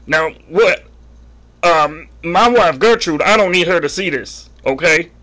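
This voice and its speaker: A man's voice: on the high side, measured pace, sharp tone. A man voicing a folksy, sheepish husband in a prank call, rambling earnestly over the phone. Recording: phone line audio.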